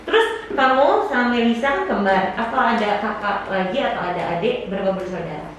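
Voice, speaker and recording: A woman interviewer from Jakarta, chatting casually and warmly affirming her guest, often calling her dear. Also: medium pitch, lively intonation, fast, distant mic. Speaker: interviewer host. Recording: echoey room, voice from afar, faint noise.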